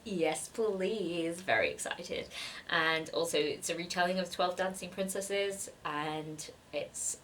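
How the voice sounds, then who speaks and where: A woman talks through her book haul in a slightly low, congested voice, nasal from a cold. She rambles in a self-deprecating way, full of ums and uhs. She sits a little back from the mic. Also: lively intonation, slow pace, a bit bright, distant mic, a little hiss or hum. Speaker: book vlogger. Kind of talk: chatty haul vlog.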